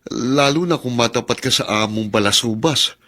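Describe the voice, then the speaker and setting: A man's voice: mid-range voice, moderate pace, a bit bright, close to an everyday voice. Radio drama casting: actor as concerned man, mid-range voice, cautioning delivery. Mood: worried. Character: worried man cautioning a loved one. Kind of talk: Filipino radio drama acting.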